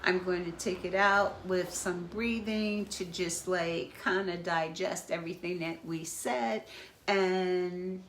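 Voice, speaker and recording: A woman therapist hosting a live stream, talking gently and reassuringly, slightly congested from asthma. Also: lowish voice, fairly even intonation, slow, a little hiss or hum. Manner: gentle, reassuring, guides breathing exercises. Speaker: therapist and host of a live talk. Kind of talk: slow, caring live-stream talk. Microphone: phone or webcam live feed.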